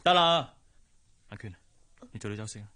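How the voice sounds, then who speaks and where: A kindly young wealthy gentleman. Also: on the low side, lively intonation, moderate pace. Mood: exasperated. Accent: Hong Kong. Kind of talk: Cantonese radio drama acting.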